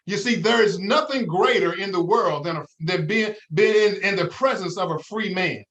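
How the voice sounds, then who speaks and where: A man's voice: sits high, normal speed, a bit bright, dull audio. A middle-aged man, a financial advisor guest in an interview, talking in an emphatic, preacher-like way with many rhetorical questions. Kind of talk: interview, motivational talk.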